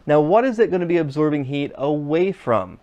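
A man's voice: on the high side, sing-song, a little fast, warm tone, muffled recording. Man teaching a hands-on trade class. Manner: explains step by step, asks the viewer questions. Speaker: HVAC instructor. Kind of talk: classroom demonstration lesson. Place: classroom workshop with equipment, indoors. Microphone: fixed camera, standing at mid distance.

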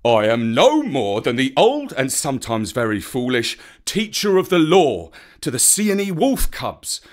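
A man's voice: medium pitch, very animated, measured pace. An actor reading a storybook and voicing an old, good-natured male bear who teaches, earnest and a little flustered.

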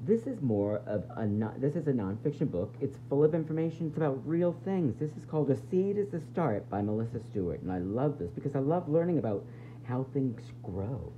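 Very low-voiced woman teacher, lively read-aloud. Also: brisk, lo-fi sound, a little hiss or hum. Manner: expressive, explanatory, questioning the listeners. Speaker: teacher reading to young pupils. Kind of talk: read-aloud of a children's nonfiction book with explanations.

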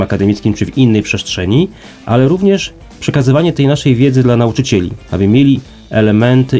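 A man's voice: medium pitch, dark tone. A man speaking as an institute representative in an interview, explaining formally and emphatically with rhetorical repetition and lists.

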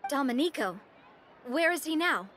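Assured young leader, high-pitched. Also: female voice, animated, moderate pace, a bit bright, slight background noise. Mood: concerned, practical. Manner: poised, polite, assured. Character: confident, genteel young female leader of an organisation. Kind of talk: video game character acting.

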